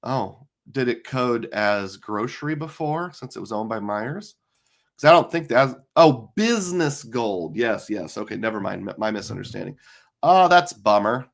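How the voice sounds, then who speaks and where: Hoarse, tired man hosting. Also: fairly low pitch, lively intonation, normal speed. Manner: chatty, rambling with many fillers. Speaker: livestream host. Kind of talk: casual live chat reading comments.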